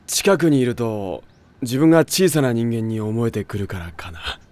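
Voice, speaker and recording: 男性演じる気弱だが抑揚のある高校の先輩. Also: male voice, mid-range voice, very animated, moderate pace, a little mellow, lightly acted. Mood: downcast. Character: timid, self-deprecating big high-school upperclassman. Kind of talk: anime audio drama acting.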